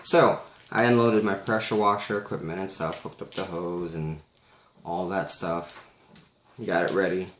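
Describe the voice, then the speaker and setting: A man casually telling a personal story in an animated way, as if acting out the conversation for listeners. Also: low voice, a little slow, echoey room, slight background noise. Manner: animated, acts out dialogue.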